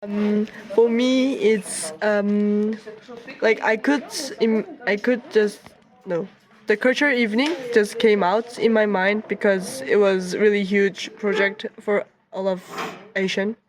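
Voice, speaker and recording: This young woman speaks slowly and haltingly, stopping often to find her words in a learned language. Her pitch sits in the middle for a woman, and she answers like a student reflecting in an interview. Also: steady tone. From South Korea. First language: Korean. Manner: halting, searching for words with many fillers.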